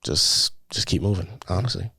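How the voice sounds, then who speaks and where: Young man, relaxed rambling talk. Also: sits low, moderate pace, creaky, husky, no echo. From Dominican Republic. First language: Spanish. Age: young adult. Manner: relaxed, rambling, many "like" fillers. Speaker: student filmmaker guest. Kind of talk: casual conversation.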